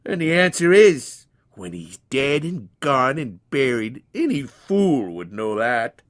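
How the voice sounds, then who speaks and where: A man's voice: mid-range voice, sing-song, slow pace, voice pushed up. A man voicing a plain-spoken, stubborn man in his nineties from a storybook, with a rambling, exclaiming, folksy manner. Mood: boastful. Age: 90s. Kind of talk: dramatized storybook reading.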